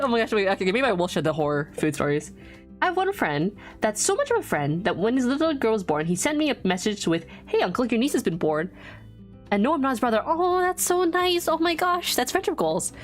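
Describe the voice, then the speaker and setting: A young woman streaming while she draws, chatting casually with viewers in a giggly, rambling way. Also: on the high side. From Vietnamese family, born outside Vietnam. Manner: chatty and giggly, lots of restarts and fillers.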